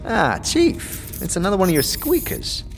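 A man's voice: high-pitched, moderate pace, creaky, soft background music, voice pushed up, full character voice. Man putting on a cheeky, swooping sidekick voice. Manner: sardonic, animated. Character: wisecracking male undead sidekick, cheeky and complaining. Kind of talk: fantasy audio drama acting. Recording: long hall-like reverb.

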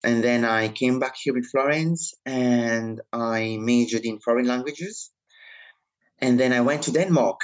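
A man's voice: mid-range voice, unhurried, rough recording. Articulate Italian-born man, interview guest. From Italy. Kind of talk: interview conversation.

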